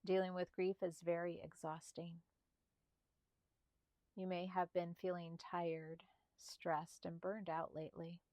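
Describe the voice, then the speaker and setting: Female meditation narrator, slightly low register, gentle breathy softness, soothing spaced delivery, dry studio-like sound. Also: dry recording. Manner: slow, calm, soothing delivery with spaced short phrases. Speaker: meditation guide.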